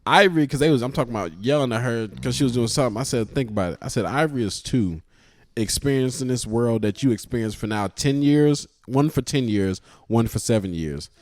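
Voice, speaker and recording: A man's voice: medium pitch, fairly quick, no room sound. A man in his thirties co-hosting a podcast, chatting casually and telling stories with a lot of laughter. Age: 30s. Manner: animated storytelling, laughs often. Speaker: podcast co-host. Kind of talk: casual chat.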